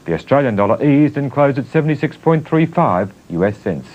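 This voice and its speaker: A man's voice: medium pitch, big pitch swings, a little fast, a little mellow. Guy doing a dry business news voiceover, muffled old tv sound. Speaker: business reporter. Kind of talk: news report voice-over.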